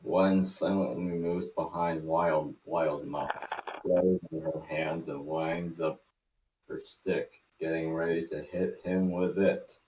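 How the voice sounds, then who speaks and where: Low-voiced man narrating, roomy and distant. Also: flat delivery, takes it slowly, highs cut off. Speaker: narrator of a fan table read. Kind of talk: amateur script narration. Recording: roomy, distant mic with faint noise.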